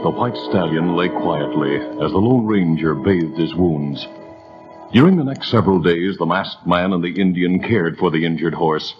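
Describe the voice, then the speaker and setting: A man's voice: low voice, muffled recording. A man narrating a 1930s radio adventure drama with a vivid, building delivery.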